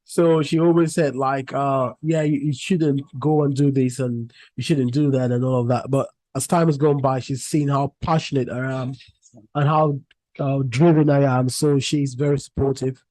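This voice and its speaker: A young man from Cameroon, a music artist, talking as a guest in a casual interview, relaxed and rambling with many restarts. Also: mid-range voice, normal speed, no echo.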